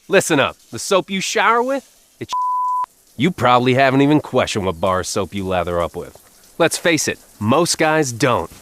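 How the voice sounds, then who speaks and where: Brash male pitchman with swooping delivery. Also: big pitch swings, normal speed, fairly bright tone. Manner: brash, mock-macho. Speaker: commercial pitchman. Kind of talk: comedic, punchy scripted ad pitch.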